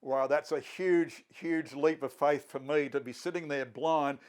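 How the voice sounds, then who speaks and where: Man hosting a panel chat, slightly high voice, keeps prodding guests. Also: unhurried. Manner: lively, prompting questions. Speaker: host.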